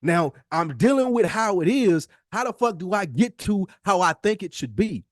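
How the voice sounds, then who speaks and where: A man's voice: high-pitched, very animated, normal speed, dry recording. Insistent American man in heated debate, dry sound. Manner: emphatic, insistent, slangy, lecturing. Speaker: podcast co-host.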